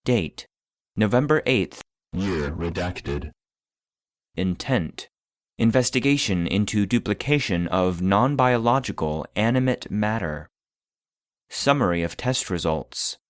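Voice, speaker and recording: A man playing an impassive database voice, reading classified files in a formal, measured, clinical manner for a scripted audio drama. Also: lowish voice, animated, dry recording, clean studio sound. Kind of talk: scripted narration of clinical reports.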